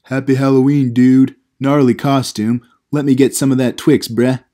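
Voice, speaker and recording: A man's voice: mid-range voice, level tone, normal speed, warm tone, close to an everyday voice. Guy doing stoner teen kid, chill slangy bro talk, comedy audio play. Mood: mellow, stoned. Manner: slangy dude-speak. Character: stoned laid-back teenage boy, slacker slang.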